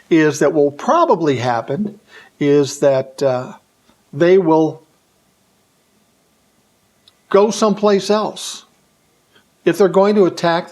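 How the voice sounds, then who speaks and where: An American man delivering an opinionated political monologue in blunt, colloquial terms, posing rhetorical questions and answering them himself. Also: fairly high pitch. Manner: blunt, colloquial, emphatic phrasing. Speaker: political commentary vlogger.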